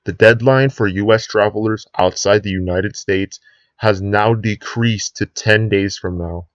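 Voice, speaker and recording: A man playing a sinister US president who gives a grave, deliberate address to the nation in an emergency broadcast drama. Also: on the low side, no room sound, dull audio, lightly acted. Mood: grave, menacing. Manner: grave, deliberate speechmaking with drawn-out ominous phrases.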